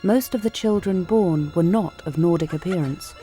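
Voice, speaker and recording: A woman narrating a documentary script in a measured, formal way. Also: on the low side, warm tone, soft background music.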